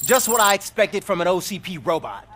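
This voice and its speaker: An actor playing an indignant, combative crusading politician in a video game, declaiming like a stump speech. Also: male voice, sits high, very animated, fairly quick, fairly bright tone, full character voice. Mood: rousing. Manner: declamatory, impassioned.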